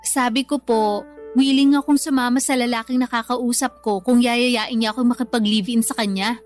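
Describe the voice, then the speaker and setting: A woman's voice: on the high side, steady tone, normal speed, faint music behind, natural voice. A woman playing a weary, stubborn young Filipina single mother, defensive and resentful, in a radio drama. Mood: pleading, stubborn. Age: young adult. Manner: plaintive, defensive.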